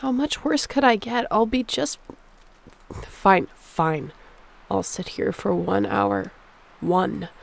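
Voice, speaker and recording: A woman's voice: mid-range voice, lively intonation, takes it slowly, gravelly, long pauses, exaggerated voice. A woman playing a sick, stubborn survivor of a zombie apocalypse in an audio roleplay, speaking in a weary, conversational way. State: feverish, under the weather.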